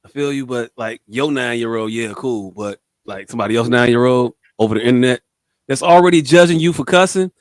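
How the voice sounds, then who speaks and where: Low-voiced man in casual, slightly stuttering banter. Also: a little fast, no echo. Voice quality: slight speech impediment with some stutter. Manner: slang-heavy, profane, joking banter. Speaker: podcast host. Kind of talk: casual chat.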